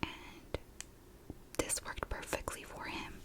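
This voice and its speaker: A woman whispering a true crime story in a soft, breathy ASMR style, unhurried and informal, like chatting to viewers. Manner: unhurried, informal, conversational narration.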